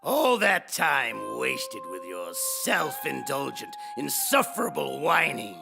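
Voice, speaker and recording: An actor playing a sneering old villain in a video game, gloating with theatrical, mocking, drawn-out delivery and a gravelly voice. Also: male voice, mid-range voice, very animated, over music, exaggerated voice. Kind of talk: dramatic video game voice acting.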